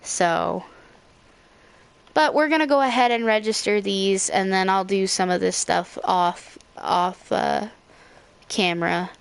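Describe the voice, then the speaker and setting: A woman's voice: medium pitch, slow, creaky, smooth, no echo. A young woman giving casual, rambling gameplay commentary as a let's play host. Her voice is slightly scratchy. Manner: rambling, with frequent fillers and self-corrections.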